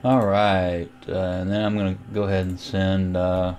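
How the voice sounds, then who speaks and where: A man giving a casual, unhurried screen tutorial, thinking aloud as he goes. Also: low-pitched, level tone, a little mellow, a little hiss or hum. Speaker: amateur radio tutorial host. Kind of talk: casual screen-recorded software walkthrough.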